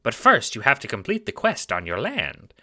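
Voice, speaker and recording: A man's voice: medium pitch, huge pitch swings, normal speed, pushed and bright, pitched above the performer's own voice, full character voice. A man voicing a kindly, playful elderly grandmother spirit with sweet, folksy, theatrical delivery in an audio drama. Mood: grand, encouraging. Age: older. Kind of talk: audio drama character acting.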